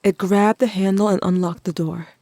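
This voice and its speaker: A performer playing a young teenage narrator telling a haunted story in a measured, scripted audio-drama style. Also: medium pitch, fairly even intonation, mellow, no room sound, lightly acted. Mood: solemn, haunted. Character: young Cain, grieving, watchful older teenage narrator.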